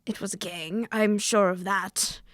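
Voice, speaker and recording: A woman playing an agitated wealthy gentleman, urgent and formal, in an old-fashioned detective radio drama. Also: mid-range voice, fairly even intonation, measured pace, dry recording, studio quality, close to an everyday voice. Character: Lytton Stanley, agitated wealthy gentleman, robbed rival.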